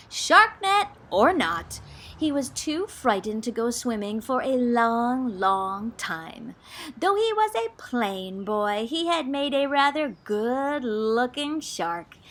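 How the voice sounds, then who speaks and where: Female storyteller for children's picture book, high bright voice, theatrical and suspenseful, noisy recording. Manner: theatrical, expressive, drawn-out suspense. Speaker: drama teacher reading a children's book aloud. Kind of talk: dramatic, animated children's storytelling.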